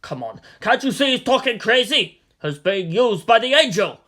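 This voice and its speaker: A performer voicing a blunt, indignant military general in a story reading, loud, blustering and defiant. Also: medium pitch, very animated, normal speed, voice pushed up. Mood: outraged.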